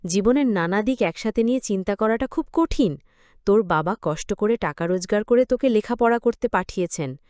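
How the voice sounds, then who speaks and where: A woman's voice: medium pitch, animated, a little fast, darkish tone, dry recording, clean studio sound, close to an everyday voice. A woman voicing a sorrowful, secretive but affectionate aunt in a narrated story, reflective and confiding. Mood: caring, evasive. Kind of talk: acted dialogue in story narration.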